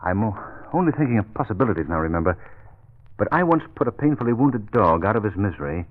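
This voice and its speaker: Low-voiced gentleman radio sleuth. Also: very animated, narrow, tinny audio. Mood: pondering. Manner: measured, reasoning. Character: thoughtful, composed gentleman amateur sleuth. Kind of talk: 1930s-40s radio drama acting. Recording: thin old radio recording.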